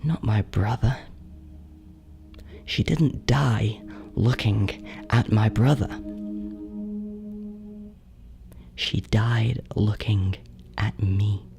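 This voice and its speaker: An actor voicing a bitter, yearning ghost in a horror audio drama monologue, brooding and breathy, often close to a whisper. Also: medium pitch. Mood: bitter, possessive. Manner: slow, halting, brooding. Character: bitter, yearning ghost of a stillborn adult sibling.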